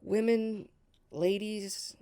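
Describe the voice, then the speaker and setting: A woman's voice: medium pitch, steady tone, extremely slow, dark tone, clear, resonant tone. A woman playing a strict, exasperated, protective barmaid mother in a pirate story table read, scolding and emphatic. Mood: earnest warning.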